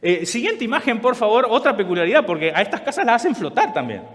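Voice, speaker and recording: A man preaching to a congregation, his voice sitting high and swinging widely in pitch. He speaks with a conversational manner, often checking in with his listeners. The large church hall leaves a long echo trailing after him. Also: sing-song, quick pace, a bit bright. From Chile. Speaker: pastor. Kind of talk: sermon.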